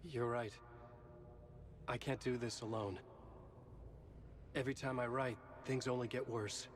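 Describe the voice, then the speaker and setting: An actor playing a tormented, guilt-ridden horror writer in a video game cutscene, brooding and urgent. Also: male voice, medium pitch, steady tone, a little slow, a little hiss or hum, lightly acted. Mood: somber.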